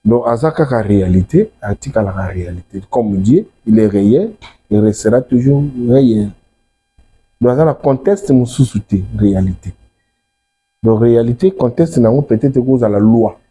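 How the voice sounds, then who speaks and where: Congolese man explaining his faith in an interview, really dark muffled tone, bit husky, repeats himself. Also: medium pitch, normal speed. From Democratic Republic of the Congo. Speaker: religious messenger, interview guest. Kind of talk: explanatory interview talk, mixing French and Lingala.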